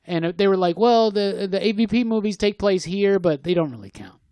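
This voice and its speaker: A man co-hosting a casual podcast, chatty and excitable, with lots of fillers. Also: high voice, fairly quick, smooth, no room sound. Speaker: podcast co-host. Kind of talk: casual chat. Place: small home studio room.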